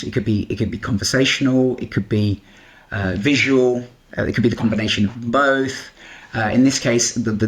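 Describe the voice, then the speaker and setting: A man whose first language is Bengali talks as a guest on a podcast. His speech is halting and full of restarts and fillers. His voice sits at a middle pitch. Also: rough recording. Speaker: guest, AI company co-founder. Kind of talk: conversational podcast interview.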